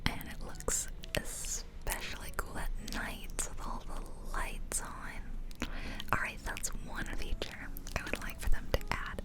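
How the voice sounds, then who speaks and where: A woman speaks entirely in a soft, airy whisper, right up at the microphone. She chats casually as an ASMR game host. Her delivery is intimate and gentle, wandering from one thought to the next. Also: rough recording. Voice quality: soft, breathy unvoiced whisper. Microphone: close ear-to-ear ASMR microphone.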